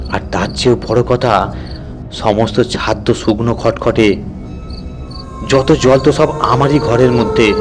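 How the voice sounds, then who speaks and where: Male actor as male raconteur, colloquial, suspenseful, dramatic, audio horror story, medium pitch, music behind. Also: natural voice. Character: suspense-building male raconteur recalling a ghostly night.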